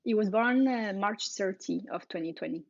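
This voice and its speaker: A woman's voice: mid-range voice, no echo, highs cut off. A woman in her thirties from France with a French accent, answering interview questions casually with fillers and little laughs. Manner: laughs often, halting with fillers. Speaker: guest, aerialist.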